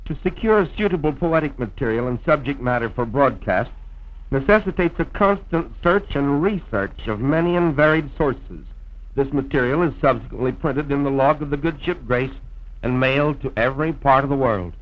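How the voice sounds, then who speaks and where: An American man narrating a scripted promotional film in a formal, measured old-time radio announcer's style, on a vintage soundtrack. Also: medium pitch, animated, dull audio. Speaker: radio program host and narrator.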